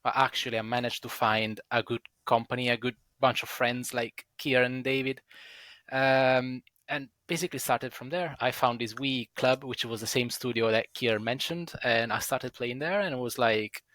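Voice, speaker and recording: Bright-voiced Italian man, joking. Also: mid-range voice, steady tone, moderate pace, no echo. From Italy. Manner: jokey, laughing often between phrases. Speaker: podcast guest. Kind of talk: casual chat.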